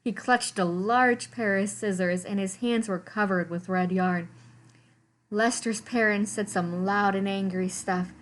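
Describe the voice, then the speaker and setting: A woman reading a children's story aloud in an animated, expressive way, with dramatic emphasis on descriptive words. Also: mid-range voice, unhurried. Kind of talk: expressive picture-book read-aloud.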